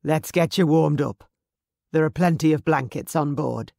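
A narrator voicing a protective, commanding Scottish Highland warrior chief who gives firm, clipped orders in a Scottish accent. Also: normal speed, gravelly, darkish tone, no echo, clean studio sound. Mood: tender commanding.

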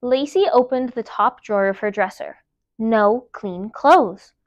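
A woman in her thirties reading a children's picture book aloud in an expressive, emphatic storytime style. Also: on the high side, no room sound, lo-fi sound. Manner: animated, emphatic, deliberate storytelling. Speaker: library storytime reader. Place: indoor room, seated before a dark wall. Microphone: clip-on lapel mic.

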